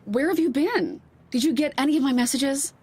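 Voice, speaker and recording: A woman's voice: sits high, a little fast, warm tone, lightly acted. An American actress playing an anxious, protective mother in an audio drama, speaking fretfully and emphatically in a naturalistic style. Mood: impatient, confrontational. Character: anxious, protective, indignant mother of a teenage daughter.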